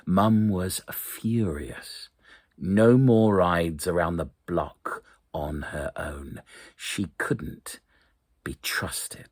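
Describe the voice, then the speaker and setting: Very deep, husky male storyteller. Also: dry recording, clean studio sound. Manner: hushed, suspenseful, deliberate phrasing with dramatic pauses. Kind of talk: dramatic narration of an eerie short story.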